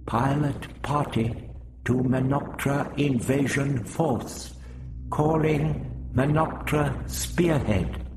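Casting: man playing a firm, urgent winged alien commander, slow and deliberate. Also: mid-range voice, noisy background, voice pushed up. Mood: resolute. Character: winged insect alien male leader, resolute.

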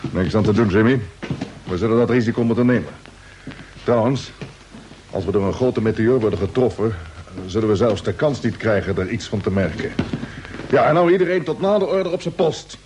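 An actor playing a resolute space fleet commander in a radio drama, giving orders in an authoritative, matter-of-fact way. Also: male voice, lowish voice, lively intonation, brisk, close to an everyday voice. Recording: noisy old radio drama recording.

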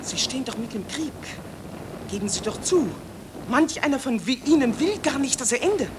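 A woman's voice: sits high, animated, moderate pace, noisy background. An actress playing a gushing, self-important female war reporter in a satirical radio drama, declaiming in an affected, theatrical way. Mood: rapturous.

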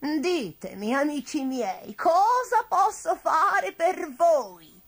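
A woman voicing a lonely old witch from a children's storybook, tearful and pleading, with a wailing, drawn-out, emotional delivery. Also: sits high, animated, pitched above the performer's own voice, heavily acted. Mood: grateful. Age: older.

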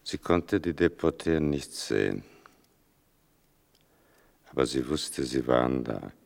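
An actor playing an older narrator in a literary radio play, gravelly and deliberate, with a bitterly ironic, mournful manner. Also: male voice, very deep voice, expressive, a little mellow, exaggerated voice. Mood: grave, restrained. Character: sardonic, mournful male narrator telling his mother's wartime story.

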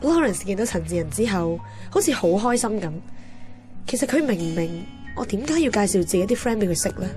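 A woman's voice: mid-range voice, lively intonation, a little fast, natural voice. A woman with a Hong Kong Cantonese accent playing a wistful, candid young heroine who narrates her romance in a radio drama. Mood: reflective. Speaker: narrator and lead character. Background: music.

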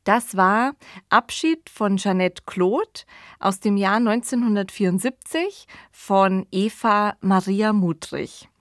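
Slow, measured woman hosting, dry studio sound. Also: mid-range voice, no room sound. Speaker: podcast host. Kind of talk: podcast presentation and discussion.

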